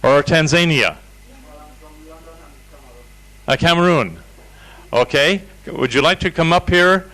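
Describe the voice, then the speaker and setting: A man's voice: on the high side, animated, moderate pace, pushed and bright, low-quality recording. Man hosting a seminar crowd. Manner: informal, inviting, with fillers. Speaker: seminar leader. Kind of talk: hosting audience introductions. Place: seminar room.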